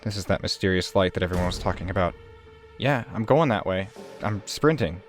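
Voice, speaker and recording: A man's voice: sits low, brisk. A man chatting casually and colloquially as a player in a tabletop roleplaying game. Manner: animated, colloquial. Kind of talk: casual, improvised game chat. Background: background music.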